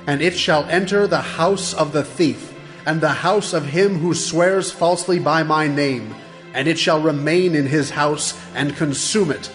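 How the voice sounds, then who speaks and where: A man's voice: fairly high pitch, natural voice. A man playing a solemn, declaiming angel messenger in a dramatized audio Bible. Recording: long reverb, music behind.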